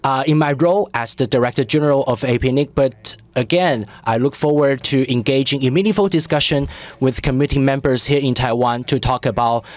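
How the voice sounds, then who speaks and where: A man giving live interpretation of a conference speech, halting, with frequent fillers. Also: mid-range voice. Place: conference hall.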